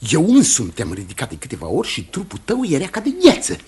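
An actor playing an indignant, accusing village pastor in a radio drama, speaking formally and insistently. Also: male voice, mid-range voice, wildly swooping pitch, darkish tone, slightly rough, exaggerated voice. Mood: goading. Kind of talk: theatrical radio drama acting.

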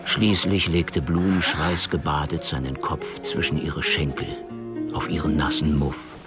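A man narrating a scripted crime radio play in a measured, composed, literary way. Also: low-pitched.

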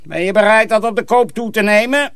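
An actor playing a domineering, menacing master sorcerer in a radio drama, commanding and threatening. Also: male voice, sits high, brisk, fairly bright tone. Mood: cold, threatening.

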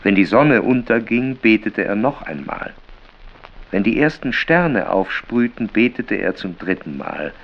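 A deep-voiced storyteller narrates a solemn literary tale in a measured, plain manner. The sound is slightly muffled, with rain behind. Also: male voice, lively intonation. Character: male storyteller of a biblical-toned literary tale.

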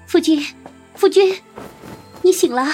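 Casting: actress for anxious young official's wife in ancient China, high-pitched, emotive and courtly, music underneath. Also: female voice, a little slow, darkish tone. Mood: relieved concern. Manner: formal, archaic, emotive speech. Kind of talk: period audio drama acting.

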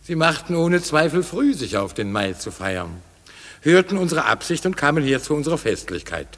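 A ruling duke of a classic radio drama, declaiming his orders with authority. His pitch swings lively up and down, and each phrase trails into long, hall-like reverb. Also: normal speed, full character voice. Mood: commanding. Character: commanding duke, lord of the hunt. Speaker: ruler. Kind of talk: classic radio play acting.

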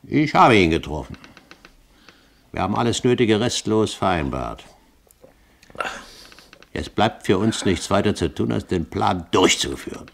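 An actor playing a shrewd, haughty gentleman pirate captain in a German radio play, speaking precisely and with condescension. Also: on the low side, big pitch swings, measured pace, gravelly, pauses often, exaggerated voice. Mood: measured, assured. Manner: lofty, precise, condescending, rhetorical.

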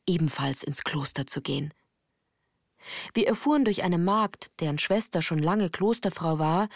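An actress playing an earnest woman recalling her girlhood in measured, literary radio-play narration. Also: on the low side, dry recording, clean studio sound.

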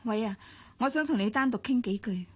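An actress in a Hong Kong radio drama playing a soft-spoken, refined young wife with a Hong Kong Cantonese accent. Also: female voice, a little high, a little fast, breathy edge. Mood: gentle. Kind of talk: broadcast radio drama acting.

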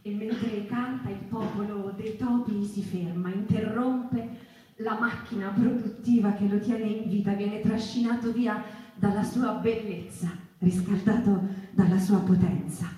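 Casting: actress as lyrical stage storyteller, dark soft-edged tone, slow pacing, theatrical fable narration. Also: female voice, medium pitch, natural voice. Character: storyteller telling of a singing mouse and her people. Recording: very echoey stage, far from mic.

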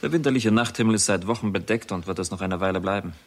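A senior astronomer with a lowish voice and a measured, considered delivery. He is sceptical and formal, a dignified figure from a sixties radio play. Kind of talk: 1960s German radio drama acting.

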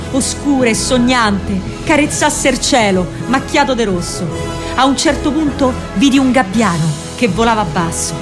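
A woman with a Roman accent performing an expressive, colloquial first-person monologue as a young partisan courier girl in a radio drama. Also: a little high. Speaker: actress narrating her own monologue.

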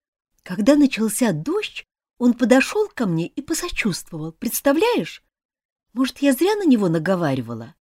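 A woman's voice: on the high side, wildly swooping pitch, slow pace, long pauses, full character voice. A woman voicing a thoughtful, fair-minded schoolgirl who muses in a half-whisper.

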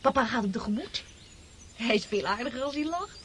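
An actress playing a spirited, impulsive teenage girl in a radio drama, excitable, flippant and girlish. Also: fairly high pitch, fairly quick. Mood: curious. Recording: noisy old radio drama recording.

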